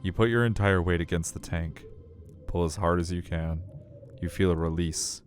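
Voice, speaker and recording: Deep voiced guy reading a visual novel out loud, dramatic and suspenseful, music going behind him. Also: moderate pace. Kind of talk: dramatic story narration.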